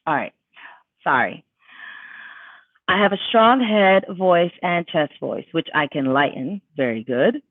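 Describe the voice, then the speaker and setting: A woman's voice: on the low side, lively intonation, unhurried, pauses often. Lady voice coach answering chat questions live, chatty and emphatic, thin phone-ish sound with no room echo. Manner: chatty and emphatic, many fillers and self-corrections. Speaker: vocal coach. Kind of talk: casual livestream Q&A, reading viewer questions aloud. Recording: tinny dry phone-like sound.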